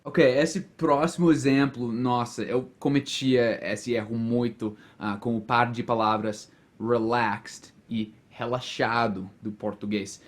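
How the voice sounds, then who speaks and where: A man's voice: medium pitch, takes it slowly, few pauses. An American man speaking Portuguese as a learner with an American accent, explaining word pairs in a friendly, casual vlog. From United States. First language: English. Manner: friendly, relaxed, explains with examples. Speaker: language vlogger.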